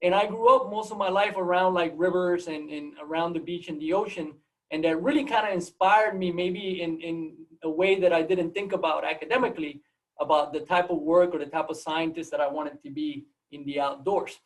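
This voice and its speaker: A man's voice: on the high side, steady tone, brisk, recorded from a distance, rough recording. A man with a Puerto Rican accent, a native Spanish speaker, giving an informal, storytelling seminar talk on a video call and often repeating words as he goes. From Puerto Rico. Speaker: guest seminar speaker, biology professor.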